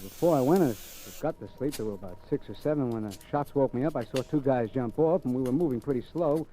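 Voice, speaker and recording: Wanted: male actor for a cocky, cynical drifter, mellow-voiced and wisecracking, 1940s radio crime drama. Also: mid-range voice, fast talker, lightly acted, rough recording. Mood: explaining, wary. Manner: wisecracking, slangy, offhand. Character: cocky, cynical drifter man accused of murder. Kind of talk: 1940s radio acting, first-person narration and dialogue. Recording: old radio recording with music behind.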